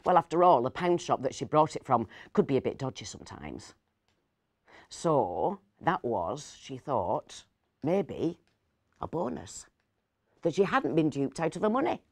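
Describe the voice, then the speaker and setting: Expressive middle-aged woman storyteller, very animated. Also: low voice, no room sound. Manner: expressive, with pauses and hushed asides. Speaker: actor reading a short story. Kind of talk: dramatic storytelling read aloud.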